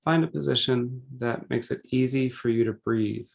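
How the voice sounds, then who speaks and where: A man leading a guided meditation, speaking gently and deliberately in short phrases with pauses between them. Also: medium pitch, vocal fry.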